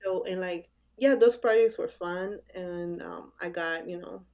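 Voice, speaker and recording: A woman brand designer answering interview questions casually, pausing often with "um" as she thinks. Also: lowish voice, a little mellow. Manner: hesitant, with many "um" pauses.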